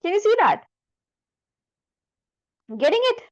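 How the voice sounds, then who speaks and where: A woman instructor talking through a screen-share software tutorial step by step, often checking that the listener follows. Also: very high voice, very animated, slow pace.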